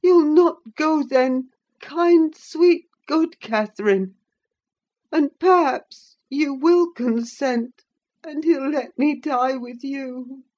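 A woman's voice: on the high side, slow, darkish tone, muffled recording, pitched above the performer's own voice. A woman voicing a sickly, frightened teenage boy who sobs and begs. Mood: sobbing pleading. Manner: sobbing, begging, trembling.

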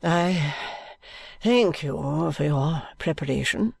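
Brief: man doing a stiff, proud old baronet, slow and swooping, dry close sound. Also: a little high, animated, gravelly, darkish tone, slightly rough, no echo, exaggerated voice. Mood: stiffly indignant. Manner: stiff, haughty, slow and deliberate. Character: proud elderly aristocratic baronet, stiff and indignant. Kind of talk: audiobook character reading.

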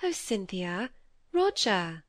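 Woman as an earnest, gentle young lady. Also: mid-range voice, big pitch swings, takes it slowly, dry recording, clean studio sound. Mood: tender, distressed. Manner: earnest, soft-hearted. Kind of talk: acted audiobook dialogue.

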